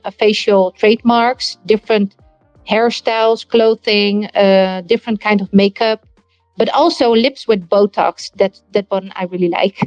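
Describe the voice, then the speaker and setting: A woman in her thirties with a Dutch accent, introducing her project as a guest on an online panel in an earnest, steady way. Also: mid-range voice, dry recording. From Netherlands. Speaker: guest, NFT project founder. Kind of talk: casual online panel talk. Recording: dull lo-fi online audio stream.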